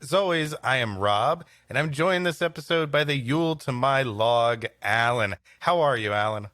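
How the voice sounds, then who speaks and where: A man's voice: medium pitch, lively intonation, moderate pace, fairly bright tone, no echo. A man hosting a comedy podcast with casual, playful banter. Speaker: podcast host.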